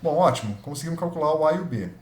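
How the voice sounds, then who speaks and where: A man's voice: on the high side, expressive, very fast, a little mellow. A man with a Brazilian Portuguese accent, a physics lab instructor talking through a spreadsheet tutorial, thinking aloud and correcting himself as he goes. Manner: thinks aloud, self-correcting, checks in with students. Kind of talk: screen-recorded spreadsheet tutorial. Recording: echoey room with faint noise.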